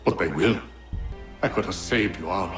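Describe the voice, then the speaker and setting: A man acts out a colonial villain in a video game, imperious and sure of his cause. His lowish voice is theatrical and grandiose, with faint music underneath. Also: moderate pace, lightly acted. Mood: bitter. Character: imperious, self-righteous colonial land baron villain. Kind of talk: dramatic game acting in period speech.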